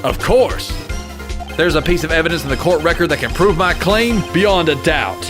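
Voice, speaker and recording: A man playing an earnest, excitable young rookie defense lawyer in a dramatic video game dub. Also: a little high, moderate pace, over music, natural voice. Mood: excited. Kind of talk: video game fandub acting with internal monologue.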